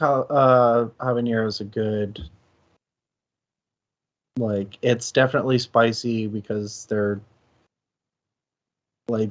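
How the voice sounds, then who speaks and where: A man co-hosting a casual discussion, thinking aloud haltingly and correcting himself as he goes. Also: lowish voice, darkish tone, dull audio. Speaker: co-host of a book discussion stream.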